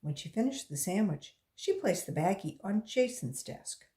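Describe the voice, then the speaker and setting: A woman reading a children's story aloud as a volunteer reader, in animated storytelling style. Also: medium pitch, normal speed, reverberant.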